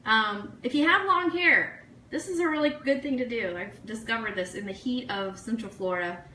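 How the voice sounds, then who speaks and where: Bright, high-voiced woman livestreamer. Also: normal speed. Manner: gushing, effusive, excitable. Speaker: online radio show host. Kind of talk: casual chatty livestream with sponsor shout-outs. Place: room, live social media stream. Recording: echoey room, faint noise.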